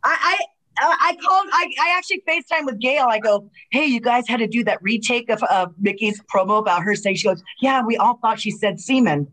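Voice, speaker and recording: Woman co-host, slightly high voice, relaxed chat with stammered starts. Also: fairly quick, poor audio. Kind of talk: casual chat.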